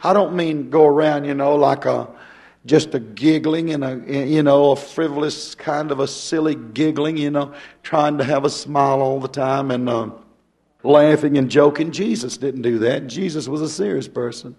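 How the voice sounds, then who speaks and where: A hoarse male pastor, talking folksy and rambling, then reading scripture in a rising preaching cadence. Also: fairly high pitch, moderate pace, a little mellow.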